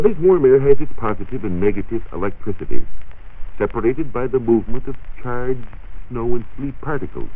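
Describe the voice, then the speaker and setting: An American man giving precise, measured explanations as a science reporter on a 1940s radio show. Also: on the low side, husky. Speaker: science reporter answering listener questions. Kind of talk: 1940s radio science talk, partly scripted. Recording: muffled, narrow-band 1940s radio recording.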